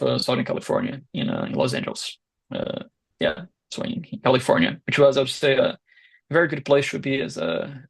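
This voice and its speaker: A young man in his twenties from Brazil, a Portuguese speaker, answering interview questions in a hesitant way with many pauses and restarts. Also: mid-range voice, vocal fry, slightly rough. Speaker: guest founder.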